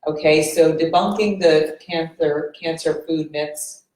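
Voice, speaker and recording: A woman's voice: deep voice, fairly even intonation, measured pace, a little mellow. A woman oncologist moderating and giving a conference talk, chatty with many fillers, laughing at herself. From United States. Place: conference hall. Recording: roomy hall sound.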